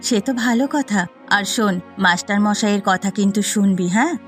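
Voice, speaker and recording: Poor cartoon mother, voiced by a woman: gentle, worried, tender, music behind. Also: medium pitch, moderate pace, lightly acted. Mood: fond. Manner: tender, sorrowful. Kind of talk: Bengali fairy-tale cartoon dubbing.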